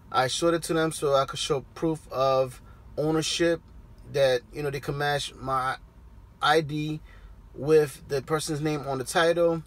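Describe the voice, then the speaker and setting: A man in his thirties, a trucker vlogger, casually explaining a process to his viewers from the cab of his parked truck. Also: fairly high pitch. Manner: relaxed, conversational, addresses viewers directly. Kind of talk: casual how-to vlog.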